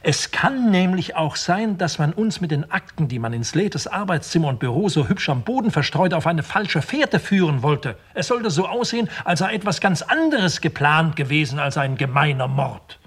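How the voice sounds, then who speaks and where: A man's voice: on the high side, animated, breathy edge, no echo. An actor playing an eager, talkative detective who thinks aloud to his chief in a 1960s German radio crime play. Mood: insistent. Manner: thinks aloud, halting, deferential but pushy.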